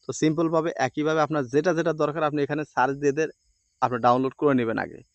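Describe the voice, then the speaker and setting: Man, quick pace, dry close sound, tutorial presenter, repetitive instructions. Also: fairly high pitch, steady tone, dry recording, dull audio. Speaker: video editing tutorial presenter. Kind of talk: informal step-by-step screen tutorial.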